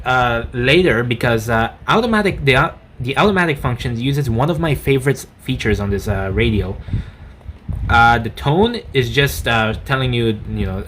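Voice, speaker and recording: A man giving a casual, unscripted product review, speaking haltingly and rambling with frequent self-corrections. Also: mid-range voice, fairly bright tone, slight background noise.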